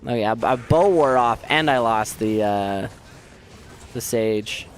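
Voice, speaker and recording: A man streaming a game who chats casually and jokes at his own expense with viewers, hoarse from losing his voice. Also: on the low side, unhurried, slight background noise. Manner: rambling, self-mocking, joking with viewers. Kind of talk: casual chat while playing.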